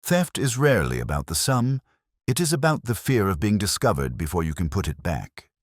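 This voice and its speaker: A man voicing a dry, unhurried police inspector in a detective story, speaking in measured, gently ironic aphorisms. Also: fairly low pitch, sing-song, no echo, voice pushed up. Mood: philosophical.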